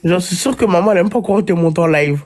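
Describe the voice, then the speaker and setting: A man's voice: sits high, fast talker, a little mellow. A man talking casually on a live stream, stuffed up with a head cold and breathing heavily, speaking bluntly and lingering on his words. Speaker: livestreamer.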